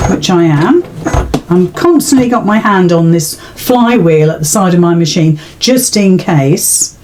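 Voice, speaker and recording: A woman's voice: mid-range voice, dark tone, slight background noise. A British woman giving an informal, step-by-step craft demonstration in a chatty, reassuring way. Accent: British English. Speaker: sewing tutorial host.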